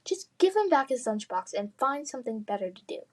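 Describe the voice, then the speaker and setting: A woman's voice: sits high, very animated, brisk. A woman voicing a brave, defiant schoolgirl in a children's fantasy storybook, earnest and emphatic. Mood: angry, firm.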